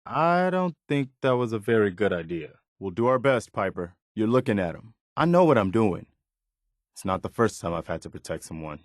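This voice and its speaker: An earnest wasteland militia lieutenant with a lowish male voice, recorded close and dry. He speaks plainly and with principle, like a dutiful soldier in a video game. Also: big pitch swings, normal speed, no echo. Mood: calm, wry. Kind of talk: video game character dialogue.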